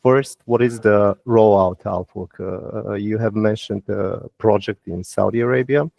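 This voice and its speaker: A man asking semi-formal questions on an investor earnings call in a hesitant, halting way. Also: on the low side, steady tone, gravelly, dry recording, muffled recording.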